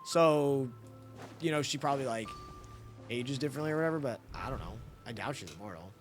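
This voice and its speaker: A man's voice: medium pitch, lively intonation, a little fast, music in the background. A man doing casual live game commentary as a streamer, blunt and slangy.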